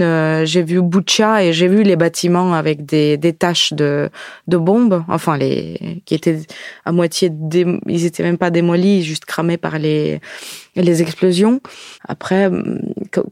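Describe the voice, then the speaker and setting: A woman's voice: fairly low pitch, a little mellow, no echo, studio quality. A woman from Ukraine giving a personal account in conversation, reflective and often searching for words. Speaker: podcast guest, diarist.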